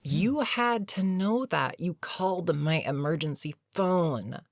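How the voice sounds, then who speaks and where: Voice actor doing a tired older villain for audio rp, gravelly n dry, super close sound, wry and kinda protective. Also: medium pitch, expressive, no echo. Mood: exasperated, wry. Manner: sighing, deliberate, wry. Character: older, experienced villain, weary, dryly sarcastic, reluctantly protective. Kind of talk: intimate audio roleplay monologue.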